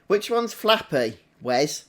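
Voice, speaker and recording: High-voiced British male game streamer. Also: big pitch swings, slow pace. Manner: chatty, greets viewers individually. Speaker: game livestream host. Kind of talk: casual live gameplay commentary.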